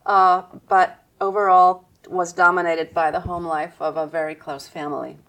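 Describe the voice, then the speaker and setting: A woman with a slightly low voice, talking as an interview guest. She speaks in a measured, reflective way and starts many thoughts with a soft "um". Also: level tone, poor audio. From United States. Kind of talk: conversational interview answers.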